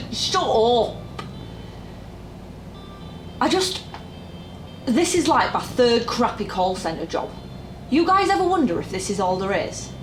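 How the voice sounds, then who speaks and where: A woman's voice: on the high side, very animated, slow pace, pauses often, a little hiss or hum. A young woman playing a jaded, sarcastic call-centre worker in her twenties in an audio drama. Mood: despondent, teasing. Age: young adult. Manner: informal, dry sarcasm. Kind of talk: naturalistic audio drama acting. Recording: roomy, music underneath.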